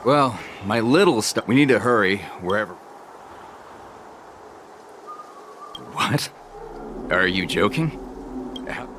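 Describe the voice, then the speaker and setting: An actor playing a busy, obliging star skiff pilot in a video game, with a businesslike, everyday way of talking. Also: male voice, fairly low pitch, lively intonation, normal speed, background noise, lightly acted. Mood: puzzled. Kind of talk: conversational video game acting. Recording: music under the voice.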